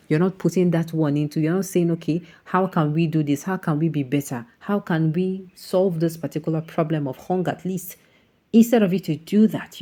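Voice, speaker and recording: A woman's voice: deep voice, warm tone. A woman with a Nigerian accent giving opinionated, off-the-cuff commentary, emphatic and full of rhetorical questions, sometimes slipping into Pidgin. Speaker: online political commentator.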